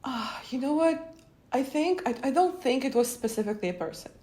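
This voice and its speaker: A woman's voice: high-pitched, animated, a little fast, mellow. Young woman guest, talkative and giggly, casual interview chat. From Spain. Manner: chatty, laughs often, many fillers. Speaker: interview guest, wrestling show host.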